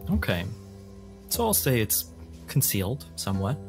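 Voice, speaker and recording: A man narrating a tabletop role-playing game as game master, setting scenes in a deliberate, descriptive way. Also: lowish voice, sing-song, faint music behind.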